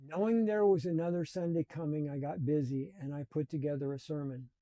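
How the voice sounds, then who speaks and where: A man in his seventies telling his life story in a slow, reflective and earnest way. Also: a little high, steady tone, dark tone, no room sound. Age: 70s. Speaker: channel host. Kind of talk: personal storytelling.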